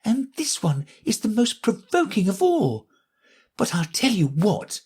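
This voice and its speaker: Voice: medium pitch, wildly swooping pitch, a little slow, a little mellow, slightly rough, pitched above the performer's own voice, exaggerated voice. A reader voicing a polite, earnest young girl of seven for a storybook. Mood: puzzled. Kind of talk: storybook reading.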